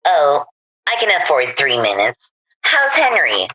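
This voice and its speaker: A woman's voice: low-pitched, huge pitch swings, a little slow, pushed and bright, no echo, highs cut off, exaggerated voice. A woman playing a breezy, gossipy housewife chatting with a friend in a radio play. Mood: breezy, chatty.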